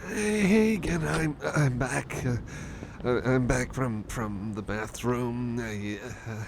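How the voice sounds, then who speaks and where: A man's voice: mid-range voice, slow pace, background noise. A man playing a shy, anxious, apologetic cyborg friend in an ASMR roleplay, speaking in a hesitant, nervous stammer. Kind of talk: ASMR roleplay, personal attention.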